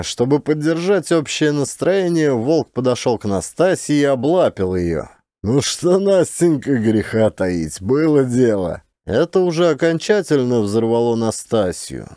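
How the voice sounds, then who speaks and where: A man's voice: mid-range voice, warm tone, no echo, clean studio sound. A man narrating a literary audiobook in Russian, expressively, as a trained actor would.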